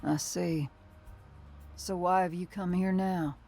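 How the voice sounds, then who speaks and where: A woman acting a battle-weary, remorseful older sister and militia fighter in a video game cutscene, speaking in a measured, earnest way. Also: on the low side, steady tone, creaky, dark tone. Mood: guarded. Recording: music under the voice.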